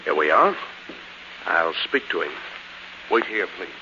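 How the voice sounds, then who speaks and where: An actor playing a brisk, businesslike young male secretary in a 1940s radio drama, curt and formal. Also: sits low, big pitch swings, full character voice. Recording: muffled, noisy 1940s radio recording.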